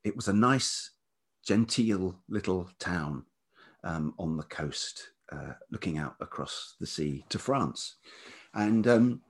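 A middle-aged man from Kent with a southern English accent and a velvety voice, telling personal stories thoughtfully as an interview guest, often pausing mid-sentence. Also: low voice, lively intonation. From Kent, England. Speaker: podcast guest, writer and musician. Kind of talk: reflective personal storytelling in conversation.

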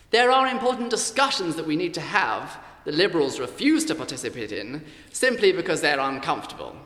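In a big echoing hall, a flamboyant male provocateur played by an actor holds forth in a high, bright voice. His delivery swings widely and theatrically, mocking and condescending, as in a radio play about a debate. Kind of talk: staged panel debate in an audio play. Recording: echoey hall with long reverb.

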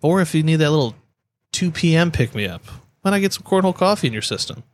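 A man's voice: a little high, expressive, quick pace. A man co-hosting a podcast with playful, joking casual banter. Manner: jokey, playful. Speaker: podcast co-host.

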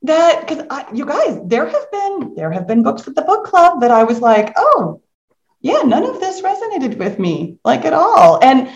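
A woman hosting a casual live book discussion, talking in an animated, conversational way and inviting the group to join in. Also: high voice, normal speed, muffled recording. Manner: animated, conversational, encouraging audience participation. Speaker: book club host. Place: indoor room on a group video call. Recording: roomy echo.

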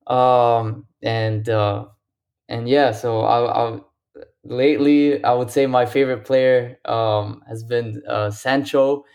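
A man from Lebanon joins a casual football podcast over a call. He talks in a hesitant way, with frequent ums and restarted phrases, at an ordinary middle pitch. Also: steady tone, takes it slowly, vocal fry, a little mellow, smooth. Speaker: guest. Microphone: remote call.

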